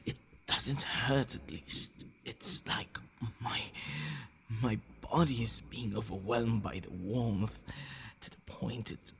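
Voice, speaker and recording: A man playing a possessive, menacing vampire in an intimate ASMR roleplay, murmuring and whispering in a gravelly, commanding, condescending way. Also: slow, a bit bright, a little hiss or hum. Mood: alarmed, demanding. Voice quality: gravelly, breathy low murmur dropping into whispers. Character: possessive, menacing male vampire toying with his captive.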